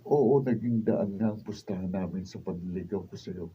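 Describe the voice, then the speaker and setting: A man's voice: low-pitched, moderate pace, highs cut off, a little hiss or hum, natural voice. A man playing a regretful young suitor who begs forgiveness, with an imploring, melodramatic Filipino radio drama delivery. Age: young adult.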